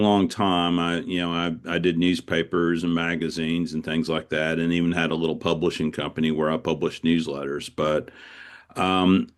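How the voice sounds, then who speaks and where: Very deep-voiced man in an interview. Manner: reflective, anecdotal, often repeats words as he goes. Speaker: author guest. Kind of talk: conversational interview answers.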